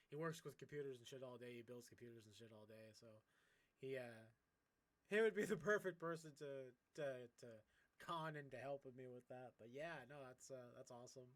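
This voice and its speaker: A man's voice: medium pitch, a bit bright. A man chatting casually as a game streamer, rambling and encouraging in an informal way. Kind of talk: casual livestream chat while gaming.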